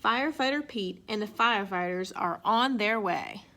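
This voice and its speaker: A woman reading a children's picture book aloud in an expressive way. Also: mid-range voice, moderate pace, creaky. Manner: expressive, acts out sound effects and exclamations. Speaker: storybook reader.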